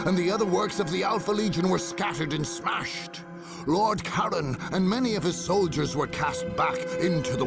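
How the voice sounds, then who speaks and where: An actor playing a grave male chronicler, narrating a grim war saga for a video game in a solemn, theatrical, gravelly, husky voice. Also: fairly high pitch, exaggerated voice. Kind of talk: dramatic scripted game narration. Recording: dry studio voice over music.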